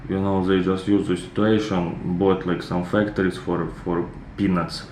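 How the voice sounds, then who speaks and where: A Russian man in his thirties, reacting to a film in relaxed, rambling chat with many fillers. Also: low-pitched, moderate pace. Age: 30s. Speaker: reaction video host. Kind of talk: casual commentary. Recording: echoey room with clear background noise.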